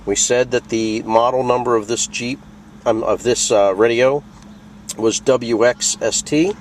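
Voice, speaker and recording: A man's voice: mid-range voice, fairly even intonation, a little slow, a little hiss or hum. A man presenting a product tutorial in a casual, plain-spoken, conversational way, walking through things step by step. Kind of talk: casual instructional walkthrough.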